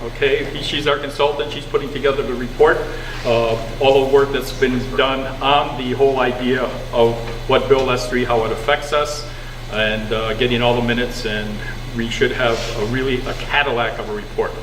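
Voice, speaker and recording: A man's voice: mid-range voice, steady tone, normal speed. A man hosting a community meeting, introducing speakers in a casual, joking way. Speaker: meeting host. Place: community recreation hall. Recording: distant, echoey hall recording with background hum.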